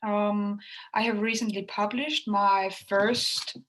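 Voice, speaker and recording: A woman from Denmark, a native Danish speaker, answering interview questions in a soft, casual way with frequent ums. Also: mid-range voice, steady tone, slow pace, slight background noise, low-quality recording. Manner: soft-spoken, frequent ums, laughs lightly. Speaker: guest author.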